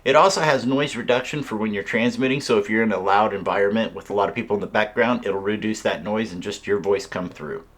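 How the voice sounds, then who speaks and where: Low-pitched man, casual gadget tutorial. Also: quick pace, few pauses. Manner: informal step-by-step explaining. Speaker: radio reviewer. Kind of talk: casual hands-on product tutorial.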